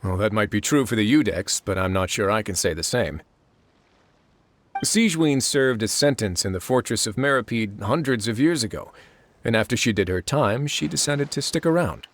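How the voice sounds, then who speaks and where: A man's voice: mid-range voice, close to an everyday voice. A man playing an easygoing, self-assured prison administrator in a video game, with a wry, casual delivery. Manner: wry, casual, lightly teasing.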